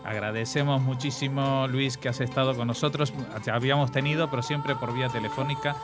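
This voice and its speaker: Guy hosting a chatty interview, mid voice, halting and repeating words, bit of music under him. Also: mid-range voice, fairly even intonation. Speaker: radio interview host. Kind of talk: conversational interview.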